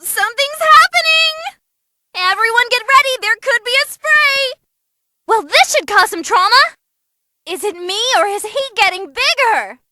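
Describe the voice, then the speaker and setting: A woman's voice: very high voice, expressive, measured pace, pushed and bright, dry recording, heavily acted. A woman playing a perky, bloodthirsty, non-human fight announcer in a game-style performance, theatrical and playful. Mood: gleeful, excited. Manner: gleeful, dramatic, playful. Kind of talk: excited ringside fight commentary.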